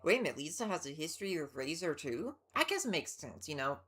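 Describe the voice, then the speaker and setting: Low-pitched, animated woman streaming a game. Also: fast, husky. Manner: chatty, musing aloud. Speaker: game livestreamer. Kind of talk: casual live gameplay commentary. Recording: game music behind.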